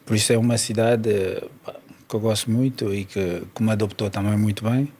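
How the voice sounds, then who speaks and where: A man from Cameroon speaks as a podcast guest. He talks calmly and hesitantly, filling pauses with soft "eh"s, and his voice sits somewhat low. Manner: calm, hesitant, with fillers and soft laughs. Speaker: former footballer, podcast guest. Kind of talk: casual chat.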